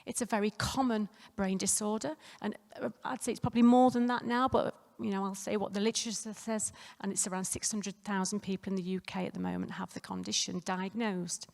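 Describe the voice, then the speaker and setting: A woman nurse presents at a conference in a croaky, gravelly voice. She talks conversationally, with plenty of fillers and restarts. Her voice carries a long reverb through a hotel conference room. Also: mid-range voice, fairly even intonation, brisk, few pauses, a little mellow. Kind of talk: conference talk.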